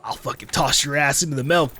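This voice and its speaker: A man's voice: a little high, fast, noisy background. A man giving casual, playful let's play commentary as host of a gaming video. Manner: playful, joking.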